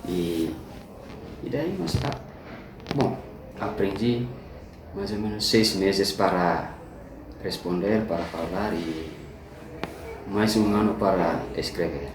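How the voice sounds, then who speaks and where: Soft-spoken Indonesian man, echoey and distant. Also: sits low. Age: young adult. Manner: halting second-language speech, laughs easily. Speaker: interviewee. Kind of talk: casual interview answers. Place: indoor room at a migrant center. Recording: echoey room, far from mic, noisy.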